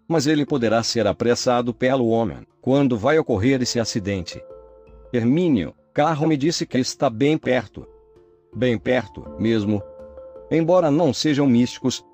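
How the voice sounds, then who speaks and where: Male documentary narrator, mid-range pitch, even reading of an interview transcript, faint music underneath. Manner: even, measured reading that voices both questions and answers.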